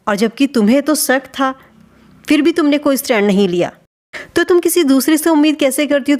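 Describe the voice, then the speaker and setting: A woman's voice: fairly high pitch, darkish tone. A woman hosting a video channel, giving gossip commentary in a reproachful, questioning way, addressing people directly. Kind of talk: spoken commentary on vlogger gossip.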